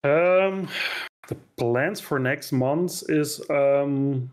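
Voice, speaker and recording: Calm deadpan man on a video call. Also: fairly high pitch, extremely slow. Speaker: guest, esports life coach. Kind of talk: casual chat.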